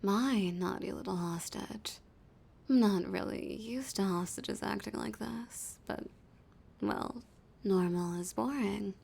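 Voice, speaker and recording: Woman acting a slow, husky, seductive villainess. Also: medium pitch, darkish tone, exaggerated voice. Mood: flirty, pleased. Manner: slow, teasing, often dropping into whispers. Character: flirty, dominant, teasing female villain holding a hostage. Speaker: audio roleplay voice actor. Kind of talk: intimate audio roleplay acting.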